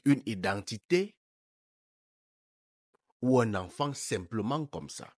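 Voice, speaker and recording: A man's voice: fairly low pitch, animated, slow pace, a little mellow, slightly rough, dry recording, clean studio sound. A man from Africa, a life coach giving an emphatic opinion monologue full of rhetorical questions.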